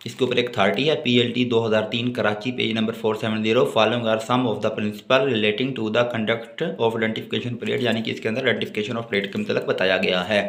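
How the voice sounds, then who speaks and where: A man with a Pakistani accent lecturing on notes, reading aloud haltingly and then explaining. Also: on the low side, steady tone, gravelly, a bit bright. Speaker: law lecturer.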